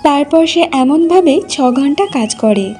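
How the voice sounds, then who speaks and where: Woman narrating a cartoon folk tale, high mellow voice, music behind. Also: expressive, normal speed. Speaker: storyteller, narrator.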